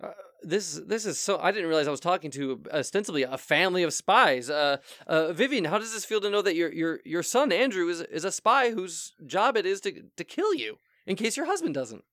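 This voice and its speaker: A man's voice: sits high, very animated, brisk, few pauses, fairly bright tone, no echo, clean studio sound, heavily acted. An actor playing a flustered male therapist who hosts a show, stammering as he tries to keep the session on track. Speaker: host.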